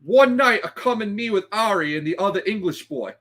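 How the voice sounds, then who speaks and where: A man's voice: high voice, animated, fairly quick, a bit bright. A man voicing a young, deferential but scrappy Cockney bellboy who talks in ungrammatical, indignant bursts in a read-aloud story. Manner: ungrammatical, peppered with respectful address.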